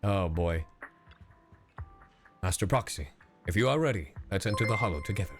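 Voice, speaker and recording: A man's voice: sits low, animated, normal speed. A man streaming a video game with casual, playful commentary, sometimes reading text aloud. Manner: playful, profane, hums to himself. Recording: game music behind the voice.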